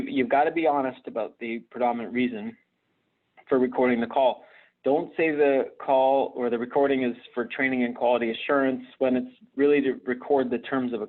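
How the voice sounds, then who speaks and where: A man gives an instructional live webinar presentation, explaining things conversationally and haltingly, often hesitating and repeating words. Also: medium pitch. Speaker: presenter at a virtual conference. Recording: narrow, phone-like online call audio.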